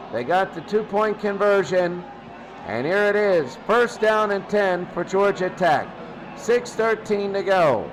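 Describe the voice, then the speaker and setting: High-pitched man calling football play-by-play. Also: background noise. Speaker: play-by-play commentator for a video game football stream. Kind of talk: sports play-by-play commentary.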